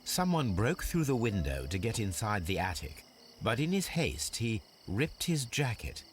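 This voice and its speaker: A man playing a calm, confident Victorian gentleman detective in a video game, with a British accent and a precise, formal manner. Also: fairly low pitch, a little slow, a little hiss or hum. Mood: musing. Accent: British English.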